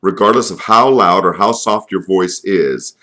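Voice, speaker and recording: Very deep-voiced man giving preaching tips. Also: unhurried, pushed and bright. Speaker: open-air preaching coach and radio host. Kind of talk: informal instructional talk.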